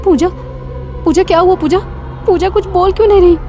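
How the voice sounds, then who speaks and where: A woman's voice: extremely high pitch, a little fast, mellow, soft background music. A woman playing an anxious, pleading, frightened young woman in a cartoon horror story. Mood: worried, calling out. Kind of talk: Hindi cartoon horror dubbing.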